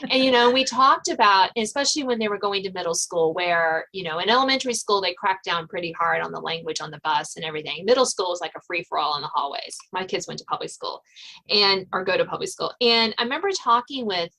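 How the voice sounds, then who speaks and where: A woman's voice: on the low side, fast talker, sharp tone, low-quality recording. A middle-aged woman speaking conversationally as the host of an interview podcast.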